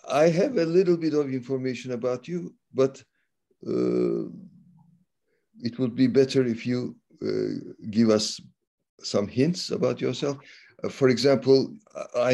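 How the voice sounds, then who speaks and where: A man hosting an interview, talking haltingly and pausing often with fillers. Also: mid-range voice, animated, gravelly, dark tone.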